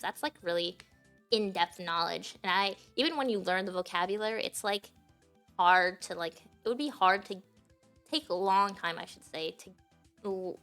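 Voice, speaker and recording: A woman's voice: on the low side, fairly bright tone. A woman from Japan whose first language is Japanese, chatting casually on a livestream and rambling with many fillers and restarts. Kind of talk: casual stream chat.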